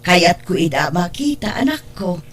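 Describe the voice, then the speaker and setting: A woman's voice: lowish voice, big pitch swings, moderate pace, a little hiss or hum. A woman playing an older mother and doting grandmother, anxious and remorseful, in an Ilocano-accented radio drama. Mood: tender, delighted. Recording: music bed behind the voice.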